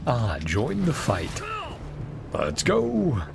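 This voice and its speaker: A man's voice: mid-range voice, huge pitch swings, drawn-out words, vocal fry. A man streaming gameplay, chatting casually and playfully with muttered asides and short exclamations. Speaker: game streamer reacting to a story quest. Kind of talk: casual gameplay reaction and reading game text aloud.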